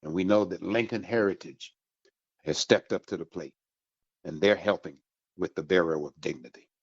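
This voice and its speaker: A man hosting a radio program, giving announcements in a deliberate, emphatic way with a husky voice. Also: low voice, dry recording. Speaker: radio host. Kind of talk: promotional radio talk with announcements. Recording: dull lo-fi radio recording.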